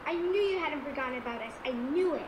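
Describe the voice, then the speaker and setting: A woman playing an excited, adoring little girl in an audio drama. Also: sits high, quick pace. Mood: overjoyed. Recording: roomy, distant, noisy background.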